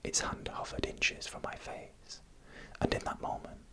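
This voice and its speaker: A narrator telling eerie stories in a breathy, unvoiced ASMR whisper, close to the microphone, with slow suspenseful phrasing.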